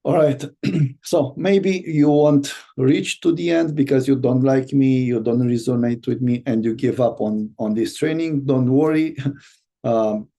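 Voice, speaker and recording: A man from Romania with a Romanian accent, teaching an online course as a business coach and speaking in a halting way with many fillers and restarts. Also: mid-range voice, steady tone, warm tone.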